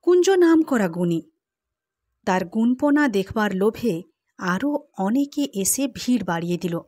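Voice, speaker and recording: A woman narrating a classic literary short story in a measured storytelling manner. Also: medium pitch, sing-song, mellow. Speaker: audiobook narrator.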